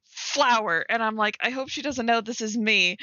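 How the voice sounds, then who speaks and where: Bright, excitable young woman, higher voice. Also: no echo, highs cut off. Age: young adult. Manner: giggly, excitable, teasing. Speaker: streamer. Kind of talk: casual livestream banter with a friend.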